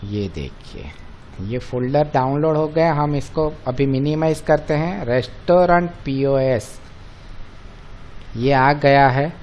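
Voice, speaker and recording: A man with an Indian accent presenting an informal step-by-step software tutorial, explaining to viewers as friends and mixing in English terms. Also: mid-range voice, animated, takes it slowly, slight background noise. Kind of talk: step-by-step screen-recording walkthrough, informal.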